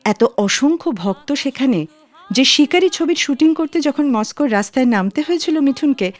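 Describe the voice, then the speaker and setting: A woman hosting a radio show, reading scripted narration. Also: fairly high pitch. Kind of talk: scripted radio narration about film music.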